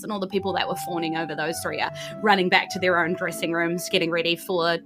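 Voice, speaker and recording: A woman with a New Zealand accent improvising narration as a tabletop game master, informal and often groping for words. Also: on the low side.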